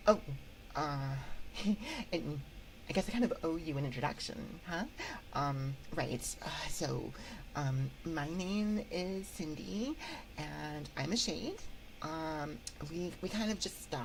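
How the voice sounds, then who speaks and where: A woman's voice: low-pitched, creaky, slight background noise, exaggerated voice, rough recording. A woman voicing a timid, awkward female shade in an ASMR roleplay, stammering and rambling nervously into a close microphone. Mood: nervous, apologetic. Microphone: close binaural microphone.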